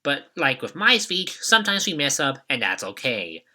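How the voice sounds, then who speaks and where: Bright-voiced young man hosting a video retrospective. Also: medium pitch, very animated, moderate pace. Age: young adult. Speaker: YouTube retrospective host. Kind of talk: joking scripted commentary.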